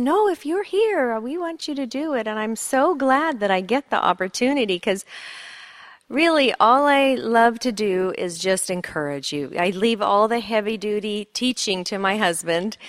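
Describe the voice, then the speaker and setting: A woman's voice: a little high, dry recording, studio quality. A middle-aged woman from Sweden, a pastor's wife giving a heartfelt, encouraging talk and prayer at a women's conference. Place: church conference hall.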